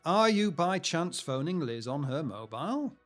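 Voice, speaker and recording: An older family man in a radio play. His voice is a little high for a man, over faint music. He is patient and practical, with a chatty, gently teasing way of talking. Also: big pitch swings, moderate pace. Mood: amused, teasing. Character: patient, teasing, helpful older husband and father. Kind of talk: naturalistic audio drama acting.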